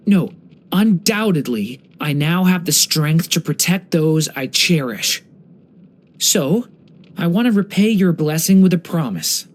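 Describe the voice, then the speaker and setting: Gentle, earnest young dragon boy. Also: fairly high pitch. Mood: earnest, grateful. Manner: earnest, polite, slightly hesitant. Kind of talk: video game character voice lines.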